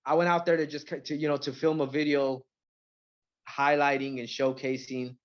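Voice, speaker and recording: Lo-fi male rapper chatting haltingly. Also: mid-range voice, flat delivery. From born in Mexico, grew up in Central California. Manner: halting, repeats words, frequent "you know" and "like". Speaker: rapper being interviewed. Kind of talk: casual interview chat.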